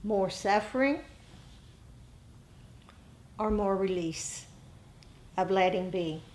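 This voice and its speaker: A woman meditation teacher giving a dharma talk to a group, speaking deliberately in short phrases with rhetorical questions. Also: mid-range voice, darkish tone, slight background noise.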